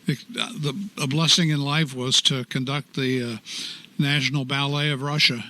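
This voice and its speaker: A man's voice: medium pitch, level tone, moderate pace, bright, forceful tone. An older man, a choral director, giving a genial radio studio interview and telling stories with a chuckle. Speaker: choral society artistic director, guest.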